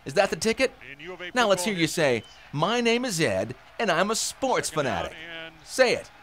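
A man's voice: high-pitched, big pitch swings, moderate pace, a crowd in the background. A man acting in a radio drama as a sardonic, menacing gunman who taunts his hostage. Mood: taunting. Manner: mocking, taunting.

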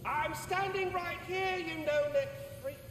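An actor voicing a bitter, mocking, foul-mouthed squirrel spirit in a video game. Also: male voice, extremely high pitch, measured pace, a bit bright, roomy sound, in a large hall, far from the mic, a little hiss or hum. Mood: sneering, hostile. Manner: sneering, foul-mouthed, taunting.

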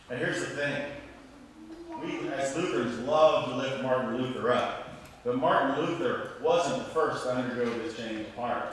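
A middle-aged man, a pastor, preaching earnestly and deliberately from a church lectern. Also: mid-range voice. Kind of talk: sermon. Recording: echoey church, distant mic, faint noise.

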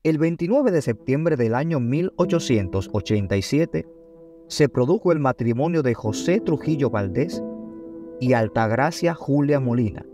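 A man reading a scripted biographical narration in a formal, measured way, in short phrases. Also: mid-range voice, expressive. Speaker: documentary narrator. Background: background music.

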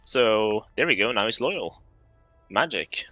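Bright-voiced man, calm. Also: lowish voice, takes it slowly, dry recording, faint music behind. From Norway. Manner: calm, explanatory, dry quips. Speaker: streamer co-host. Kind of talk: casual gameplay chat. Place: small home room.

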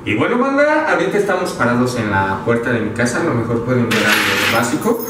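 A man's voice: mid-range voice, fast, far from the mic, over loud music. A young man with a Mexican Spanish accent giving a casual, slangy tour of his small apartment to his viewers. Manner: slangy, energetic, talks to viewers as "banda". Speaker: vlogger. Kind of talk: casual house tour vlog. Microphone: handheld camera mic. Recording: echoey room, a bit off-mic.

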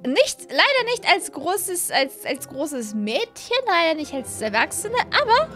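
A woman's voice: extremely high pitch, big pitch swings, a little slow, fairly bright tone. A young woman in her twenties chatting casually and gushing as she comments on a game, thinking aloud, close to a studio mic. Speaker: gaming video host. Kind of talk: casual let's-play commentary. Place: small room at home, indoors. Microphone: close studio microphone on boom arm, headset worn. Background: faint background music.

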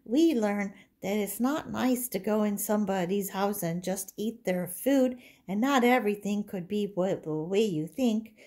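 A woman's voice: mid-range voice, unhurried, few pauses, a little mellow, close to an everyday voice. A woman voicing an eager little daughter who answers a bedtime question.

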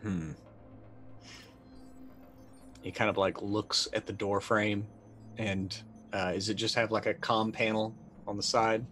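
A man's voice: lowish voice, flat delivery, moderate pace, fairly bright tone, faint music behind. A middle-aged man playing a tabletop roleplaying game, narrating casually and thinking aloud in hesitant bursts. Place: indoor room, on a video call.

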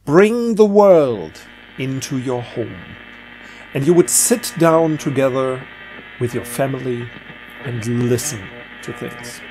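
A man's voice: mid-range voice, darkish tone, rough recording. A man hosting a casual, reflective monologue, speaking in a hesitant, searching way and repeating words. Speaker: video host.

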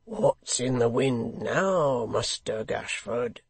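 Casting: male reader as rough storybook hangman, hoarse growl, sly and over-familiar, unhurried delivery. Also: medium pitch, lively intonation, no room sound, exaggerated voice. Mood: eager, prying. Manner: cockney-style dropped grammar, chuckling familiarity. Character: coarse, sly, eager middle-aged male hangman.

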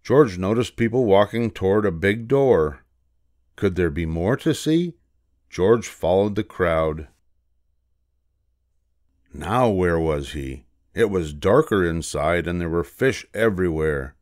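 An older man reading a children's storybook aloud as narrator, in a measured, expressive way. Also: sits low, no room sound, studio quality.

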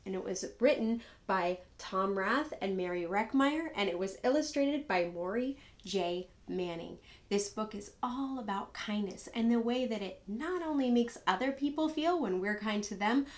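A woman speaks as the host of a children's read-aloud. Her voice sits at an average pitch as she presents a book to young viewers. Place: small room indoors.

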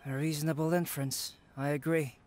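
A man voicing a calm, analytical young alchemist in a video game, measured, detached and scholarly. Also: a little high, soft background music, lightly acted.

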